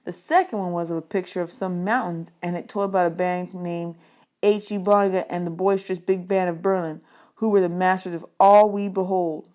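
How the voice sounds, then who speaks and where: A woman reading a children's novel aloud as an expressive audiobook narrator. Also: fairly low pitch, a little fast. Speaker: audiobook reader.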